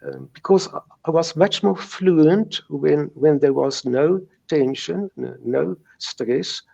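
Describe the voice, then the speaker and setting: Man, Afrikaans-accented South African, gravelly fry, halting stuttered delivery, slightly dull lo-fi audio, interview guest. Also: on the high side, dark tone. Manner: halting, repeats words and sounds, stuttering. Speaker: guest recounting recovery from stuttering. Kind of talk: personal account in an interview.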